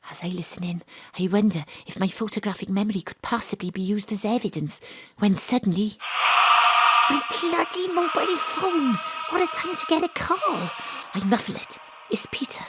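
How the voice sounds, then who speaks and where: A woman's voice: mid-range voice, lively intonation, moderate pace, heavy background noise, close to an everyday voice. An actress playing a wry, self-regarding detective, telling her own story in an audio drama. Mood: tense, wry. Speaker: actor narrating an audio drama. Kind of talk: first-person dramatic narration.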